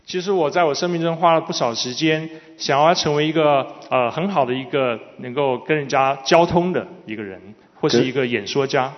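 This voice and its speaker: A man's voice: on the high side, fairly quick, hardly any pauses. A man interpreting a sermon consecutively, delivering it phrase by phrase. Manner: follows the preacher phrase by phrase. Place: large reverberant hall. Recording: dull, reverberant large hall sound.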